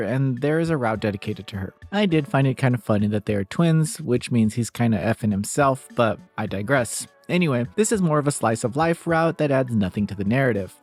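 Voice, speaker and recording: A man's voice: medium pitch, a little mellow. A man narrating a scripted game review in a casual manner, with wry asides.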